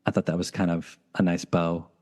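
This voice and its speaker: A man's voice: low-pitched, flat delivery, brisk, a little mellow. A man hosting a podcast, chatting casually. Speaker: podcast host. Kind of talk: casual chat.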